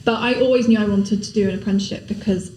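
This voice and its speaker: A woman's voice: mid-range voice, fairly even intonation, racing, darkish tone, roomy sound, a little hiss or hum, poor audio. A young woman with a Somerset accent, a law apprentice explaining her path as a guest in a podcast interview. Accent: Somerset English. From Somerset, England. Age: young adult. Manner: articulate, explanatory.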